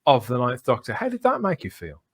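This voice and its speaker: A man's voice: medium pitch, huge pitch swings, a little fast. A man hosting a podcast, talking in a conversational, discursive way and often ending on tag questions. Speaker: podcast host.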